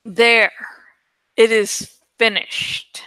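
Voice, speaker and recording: A woman narrates a novel with a fairly high, bright voice. She takes her time and acts out the story expressively, recorded close and dry. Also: fairly even intonation, drawn-out words, smooth, no room sound, poor audio. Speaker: audiobook reader. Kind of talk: dramatic first-person novel narration.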